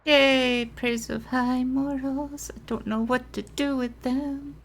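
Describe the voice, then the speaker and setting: Slow, higher-voiced woman streaming a game. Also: fairly even intonation, a little hiss or hum. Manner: exclaiming, giggly, reading game text aloud. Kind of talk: casual gameplay commentary. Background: game music.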